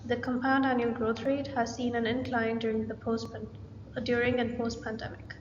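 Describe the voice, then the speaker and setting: A young woman, a student presenter, reading a scripted presentation in an even, list-like way over a laptop webcam in a small room. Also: fairly high pitch. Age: young adult. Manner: even, read-aloud delivery with list-like pacing. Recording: lo-fi, echoey room with hiss.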